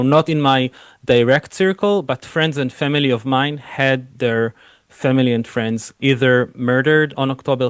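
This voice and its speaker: A man's voice: mid-range voice, dry recording. Israeli guy, film director being interviewed, earnest, lots of "you know", dry sound. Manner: earnest, fluent with frequent "you know" fillers. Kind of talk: interview answers.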